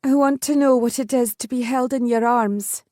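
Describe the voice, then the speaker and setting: A woman voicing a bold, impulsive young Highland maiden. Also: fairly even intonation, normal speed, mellow, dry recording, studio quality, natural voice. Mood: yearning.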